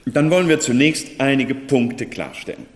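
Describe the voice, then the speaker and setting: An actor playing a self-important, lecturing doctor in a German radio play, with a pedantic, professorial manner. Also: male voice, medium pitch, expressive, normal speed, close to an everyday voice. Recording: reverberant hall.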